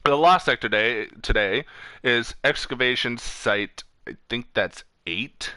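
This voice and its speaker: A man's voice: medium pitch, takes it slowly, pushed and bright, clear, resonant tone, no echo. A man hosting a casual gaming stream, reading off lists informally, stumbling over words and correcting himself. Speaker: streamer host.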